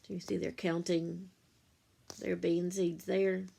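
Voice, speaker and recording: A woman reading a picture book to children like a teacher, in simple, deliberate phrases, pausing to explain and ask questions. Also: fairly low pitch, level tone, vocal fry, mellow, a little hiss or hum. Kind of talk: read-aloud storytime with explanatory asides.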